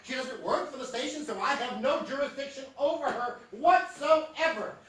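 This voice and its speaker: A woman's voice: high voice, moderate pace, close to an everyday voice. A woman playing an easygoing radio station manager who shrugs off trouble, in a live stage comedy. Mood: breezy. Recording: very echoey theatre, distant mic.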